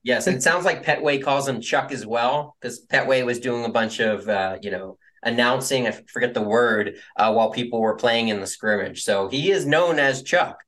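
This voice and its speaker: A man's voice: mid-range voice, normal speed, few pauses, fairly bright tone. A young man co-hosting a podcast, recapping events in a rambling, filler-heavy casual way. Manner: rambling, many fillers and hedges. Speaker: podcast co-host.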